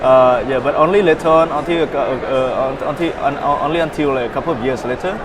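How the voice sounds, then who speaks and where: A young man from Vietnam, a startup founder, answering interview questions in a halting way with many fillers and repeated words. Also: mid-range voice, a crowd in the background.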